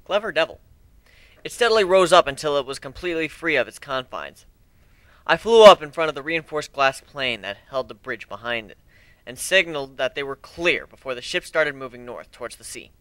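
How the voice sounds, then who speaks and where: A man's voice: on the high side, lively intonation, fairly quick, a bit bright, dry recording, rough recording. A man giving an amateur dramatic reading of a first-person adventure story.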